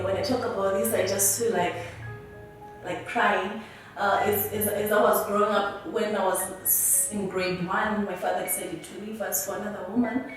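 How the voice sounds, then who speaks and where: Zimbabwean woman in her young adult years, earnest halting testimony, mid-pitched voice, recorded far off in a very echoey room. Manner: halting and earnest, often restarting words. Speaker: interviewee. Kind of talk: personal testimony.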